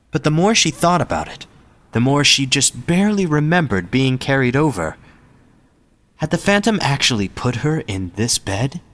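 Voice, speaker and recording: A man's voice: mid-range voice, fairly bright tone. A man reading scripted story narration as the narrator of an audio drama.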